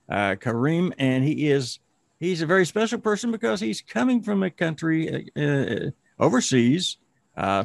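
A man's voice: medium pitch, dry recording. A man hosting a podcast, welcoming his guest in an upbeat way with some repeated words. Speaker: podcast host. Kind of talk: scripted intro then casual hosting.

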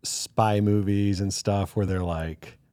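A young man hosting a podcast, chatting casually with his guest in a relaxed, talkative way. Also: lowish voice, slow pace, no room sound, clean studio sound. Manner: relaxed, chatty, tells anecdotes. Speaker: podcast host. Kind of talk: casual interview chat.

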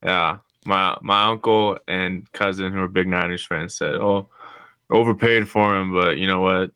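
A young man chatting on a podcast, relaxed and laid-back with short answers. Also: low voice, unhurried, clear, resonant tone, no room sound. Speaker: podcast co-host, student. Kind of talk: casual chat.

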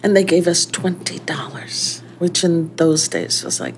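Chatty older woman, low voice. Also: unhurried, in a whisper, soft background music. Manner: chatty, laughing storyteller. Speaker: restaurant owner. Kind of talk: casual interview storytelling.

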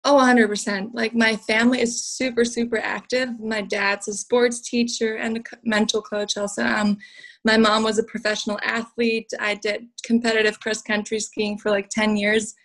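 Young woman, from Switzerland, podcast guest, high flat voice, casual, filler words. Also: normal speed, poor audio. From southern Switzerland. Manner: relaxed, uses um and like often. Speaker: guest, cookbook author. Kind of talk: casual interview talk.

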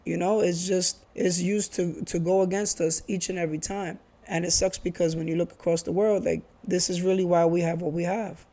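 A man's voice: high-pitched, little pitch movement, normal speed, few pauses, warm tone. A man, talking earnestly in a casual conversation, often restarting and repeating phrases. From Bronx, New York. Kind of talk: casual chat.

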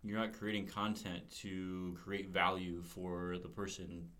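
A man's voice: low-pitched, level tone, measured pace, fairly bright tone. A man in his forties hosting a podcast in casual conversation, emphatic and swearing casually. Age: 40s. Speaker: podcast host, fitness coach.

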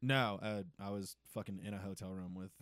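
A man's voice: lowish voice, steady tone, a little fast, fairly bright tone, clean tone, no echo, studio quality. A young man co-hosting a casual podcast, talking loosely, swearing a lot and laughing often. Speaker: podcast co-host. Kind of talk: casual chat.

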